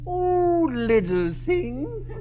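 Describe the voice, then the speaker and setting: An actor playing a mischievous German immigrant classmate, sardonic and joking, with a German accent in a 1950s radio sitcom. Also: male voice, very high voice, sing-song, extremely slow, exaggerated voice. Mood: teasing. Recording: muffled, noisy old radio recording.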